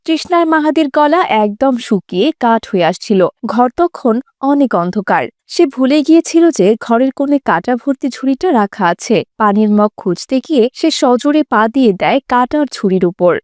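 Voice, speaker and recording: A woman's voice: a little high, lively intonation, moderate pace, few pauses, a little mellow, no room sound, studio quality. A woman giving scripted narration for a cartoon story.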